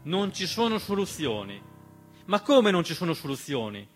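A man's voice: on the high side, very animated, normal speed. A man delivering a theatrical political monologue in a rhetorical, impassioned manner, speaking directly to the listener. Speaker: stage monologist. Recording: piano music behind the voice. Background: piano accompaniment.

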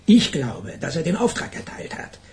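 Radio crime drama: a demanding chief of investigators, higher-voiced, swinging intonation, curt and insistent. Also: male voice, sing-song, normal speed, a little mellow. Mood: impatient, insistent. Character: pushy, impatient male investigation chief. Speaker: actor.

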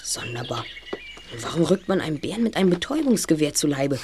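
A woman's voice: low voice, big pitch swings, fast, a little mellow, a little hiss or hum. Earnest, precise boy detective played by a woman. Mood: serious, puzzled. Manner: precise, inquisitive. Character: earnest, analytical teenage boy detective leader. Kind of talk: audio drama acting.

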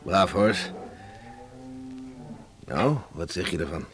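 A man acting a hearty, plain-spoken older farmer father with a halting way of talking in a radio play. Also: low voice, lively intonation, music in the background. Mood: proud, companionable. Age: middle-aged or older. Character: hearty farmer father, troubled by regret, welcoming. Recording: noisy old radio play recording.

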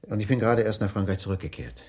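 An actor playing a troubled, evasive husband in a German radio crime drama, speaking hesitantly. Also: male voice, fairly low pitch, fast talker, a little mellow, lightly acted. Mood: evasive, nervous. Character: troubled, evasive husband, a dining-car waiter. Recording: music under the voice.

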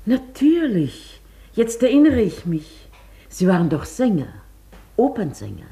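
A woman's voice: mid-range voice, huge pitch swings, a little slow, mellow. An actress in a German radio play playing a polite, reserved, ladylike newcomer at a sanatorium.

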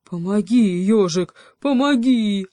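Lady doing a cocky little wolf cub for a kids story, bullying, loud, voice swings all over, very dry sound. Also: medium pitch, lively intonation, unhurried, no echo, natural voice. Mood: pleading. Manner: loud, exclaiming, taunting. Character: boastful, bullying young wolf cub, easily panicked.

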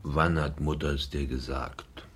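An actor playing a bitter, insecure middle-aged former general, a wounded son, in a naturalistic radio drama. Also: male voice, a bass voice, flat delivery, unhurried, a bit bright, husky, full character voice. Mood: probing, subdued.

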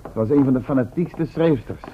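A man's voice: medium pitch, lightly acted. Actor as dry sardonic man, tinny radio sound. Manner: formal, ironic, measured. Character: dry, sardonic, level-headed fugitive time traveler. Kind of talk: radio play acting. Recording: tinny, band-limited old radio with hiss.

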